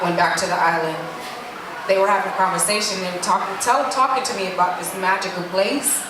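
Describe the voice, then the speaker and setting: A middle-aged woman from Jamaica, a guest speaking earnestly about her life, with many restarts. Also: fairly low pitch. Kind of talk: candid conversational interview. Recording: echoey room from a distance, noisy.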